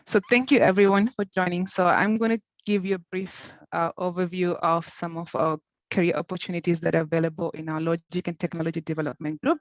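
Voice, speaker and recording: A woman from Zimbabwe, giving a keynote presentation in a measured, explanatory way. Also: fairly low pitch, fairly even intonation, no room sound, dull audio. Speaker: keynote speaker.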